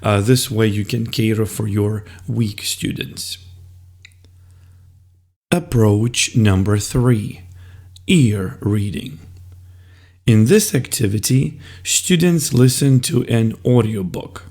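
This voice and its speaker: A man explaining classroom methods as a teacher, in a deliberate, step-by-step instructional style. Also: on the low side, gravelly, darkish tone.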